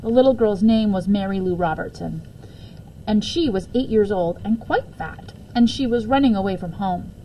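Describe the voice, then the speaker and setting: A woman reading a children's book aloud as an expressive storyteller. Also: medium pitch, normal speed, a little hiss or hum, low-quality recording. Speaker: story reader.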